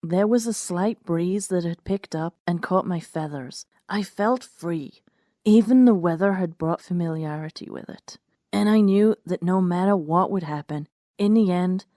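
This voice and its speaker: A woman reads a first-person story as its narrator. Her voice sits fairly low for a woman, and the recording is close and dry, with no room sound. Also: mellow, no room sound. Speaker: narrator and host of a fan fiction reading series.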